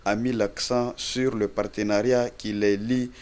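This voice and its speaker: A man's voice: fairly low pitch, flat delivery, a little slow, a little mellow, clear, resonant tone. A man reading a scripted news report as a narrator.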